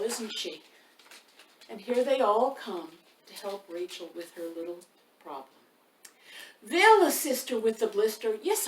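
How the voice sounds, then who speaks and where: Expressive woman storyteller in a roomy space. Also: mid-range voice. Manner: addresses young listeners with questions. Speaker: author and storytime host. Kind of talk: children's picture-book read-aloud. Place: indoor room by a wood stove. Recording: roomy, distant mic, faint noise.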